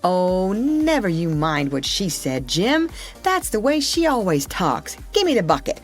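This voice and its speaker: A woman's voice: lowish voice, big pitch swings, unhurried, faint music behind. A woman voicing an eager, mischievous young boy who coaxes and bargains. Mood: coaxing. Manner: coaxing, eager.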